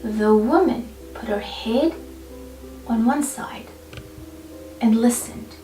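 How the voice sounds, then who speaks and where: An echoey recording with faint music underneath carries a woman's fairly high voice. She reads a children's story aloud with deliberate, dramatic phrasing, leaving room between her words. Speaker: storyteller reading a picture book aloud. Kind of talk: expressive children's story reading. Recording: roomy, distant mic, faint music behind. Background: faint background music.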